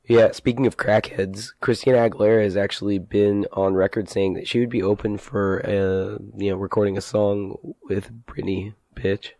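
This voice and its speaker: A man co-hosting a casual gaming podcast, hoarse and stuffy from a cold, wry and self-mocking. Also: fairly low pitch, little pitch movement, normal speed, few pauses, dark tone, no room sound. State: hoarse, losing his voice from a cold. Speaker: podcast co-host.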